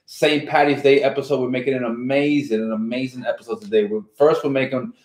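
A man's voice: mid-range voice, fairly even intonation, a little mellow, slight background noise. A middle-aged man from New York City, hosting a live home cooking stream with his wife, talking enthusiastically and chattily, picked up by a distant camera mic. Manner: enthusiastic, chatty, drawn-out asides. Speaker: cooking show host. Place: home dining room set up as a studio. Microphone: far camera mic. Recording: echoey room with kitchen clatter.

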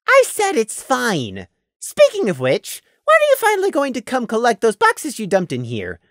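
A woman's voice: fairly high pitch, huge pitch swings, normal speed, no room sound, clean studio sound, pitched above the performer's own voice. A woman voicing a small, nervous male lamb who works as a guard clerk, polite but evasive. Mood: guarded politeness.

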